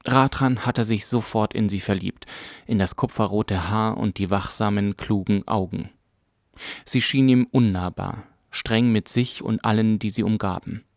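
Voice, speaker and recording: A man narrating a fantasy audiobook in a calm, measured storytelling manner. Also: on the low side, no room sound, studio quality. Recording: music bed under narration.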